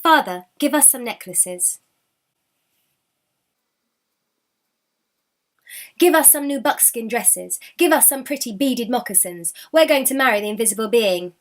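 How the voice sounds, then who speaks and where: Woman playing vain storybook sisters, young, high voice, boastful, whiny, cruel. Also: animated, normal speed, hardly any pauses, lightly acted. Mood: demanding. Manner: boastful, whiny, flustered when challenged. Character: two haughty, cruel, vain older sisters, young women.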